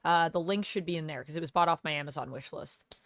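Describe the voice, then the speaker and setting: Woman, live streamer, gravelly smoky voice, sick with a cold, chatty, lowish pitch. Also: quick pace, no echo. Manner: chatty, rambling, thanks viewers by name. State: under the weather with a cold. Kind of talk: casual live-stream chat, reading viewer comments. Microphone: gaming headset.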